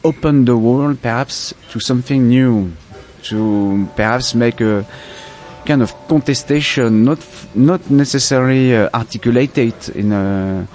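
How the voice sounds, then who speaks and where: A man from France with a French accent, a filmmaker answering interview questions in a halting, reflective way as he searches for words. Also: medium pitch, a little mellow, faint music behind. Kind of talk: festival interview.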